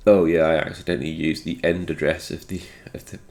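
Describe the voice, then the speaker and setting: Man, low-pitched, congested from a cold, musing technical walkthrough, unscripted. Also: fairly even intonation, brisk, a little mellow. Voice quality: slightly congested. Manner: thinking aloud, musing. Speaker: host of a retro game programming video.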